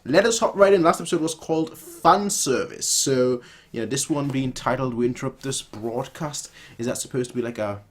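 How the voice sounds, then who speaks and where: A man's voice: mid-range voice, fairly quick. A man reacting casually as a video host, rambling, repeating his phrases and exclaiming.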